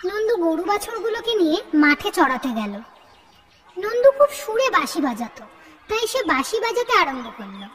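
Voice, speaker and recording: Lady telling a kids fairy tale, really high sing-song lively voice, reverby hall sound. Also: brisk. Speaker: narrator. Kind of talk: scripted children's fairy-tale narration.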